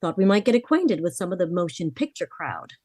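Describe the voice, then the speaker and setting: Woman acting as earnest young heiress, soft mellow voice. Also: lowish voice, sing-song, brisk, breathy edge, no room sound, studio quality. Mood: confiding. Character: worried, frank young heiress of twenty-four. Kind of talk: vintage radio drama acting.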